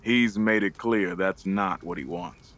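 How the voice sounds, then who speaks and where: An actor playing an earnest, conciliatory adult brother who gets exasperated, in an audio drama. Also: male voice, low voice, a little slow, fairly bright tone. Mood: guarded, sheepish.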